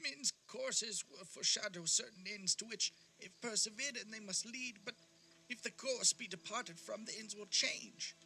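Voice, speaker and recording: An actor playing a frightened, repentant old miser in an audio play, halting and imploring as he repeats himself. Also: high-pitched, sharp tone, slight background noise, full character voice, poor audio. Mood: fearful, solemn. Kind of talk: theatrical radio-play acting.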